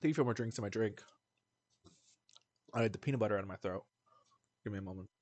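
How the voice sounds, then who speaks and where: A man's voice: fairly low pitch, quick pace, a little mellow, no room sound. A man livestreaming a video game, rambling casually as he chats to his viewers. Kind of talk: casual gameplay stream chat.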